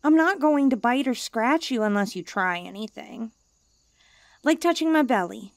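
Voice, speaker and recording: A woman's voice: fairly high pitch, animated, moderate pace, dry recording, studio quality. A woman playing a haughty, needy young stray catgirl, blunt and cutesy, in an ASMR comfort roleplay. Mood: haughty, inviting.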